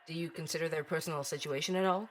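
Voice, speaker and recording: A man's voice: a little high, steady tone, rapid-fire, fairly bright tone, a little hiss or hum, natural voice. An actor playing a probing, skeptical male investigative host in an audio drama, with hesitant but pointed questioning. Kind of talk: audio drama interview and narration.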